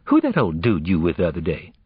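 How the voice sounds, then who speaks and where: A man as a guilty, husky-voiced teenage girl. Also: sits low, wildly swooping pitch, quick pace, no echo, phone-quality sound, heavily acted. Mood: evasive. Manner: slangy, reluctant, confessional. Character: big teenage girl, evasive, guilty, lovestruck and defensive.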